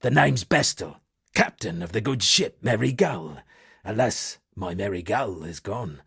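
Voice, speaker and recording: A shipwrecked old-world captain who fancies himself a bard in a video game. His voice is rough with heavy creak and husk, recorded close and dry. He delivers florid, pompous lines with dramatic flourish. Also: lowish voice, animated, a little slow, fairly bright tone, no echo, exaggerated voice. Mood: theatrical, rueful. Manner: florid, dramatic, alliterative declaiming. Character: eccentric male shipwrecked sea captain and pompous amateur poet. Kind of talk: theatrical video game character dialogue.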